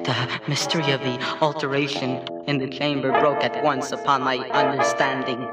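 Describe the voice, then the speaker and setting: A man playing a tormented condemned prisoner in mortal terror, reading a gothic horror story in a theatrical, halting, anguished way. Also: medium pitch, flat delivery, lightly acted. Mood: hushed dread. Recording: echoey, distant, loud music behind.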